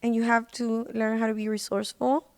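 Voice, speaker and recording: A woman's voice: on the high side, little pitch movement, brisk, clean tone, no echo. A woman telling personal stories casually as a podcast guest, speaking in an informal, slangy way and repeating words for emphasis. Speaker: podcast guest, independent singer. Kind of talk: casual personal storytelling in an interview.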